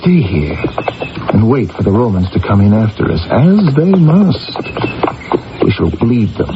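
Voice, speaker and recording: An actor playing a shrewd, solemn ancient British warrior in a radio drama, measured and emphatic in delivery. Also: male voice, fairly low pitch, very animated. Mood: urgent, persuasive. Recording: muffled vintage radio recording, music behind.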